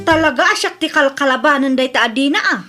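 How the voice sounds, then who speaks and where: A woman's voice: high voice, lively intonation, quick pace, over music. A woman with an Ilocano accent playing a brash, defensive, argumentative mother in a comedy audio drama. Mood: outraged. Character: brash, defensive mother, stubborn debtor, quick to argue.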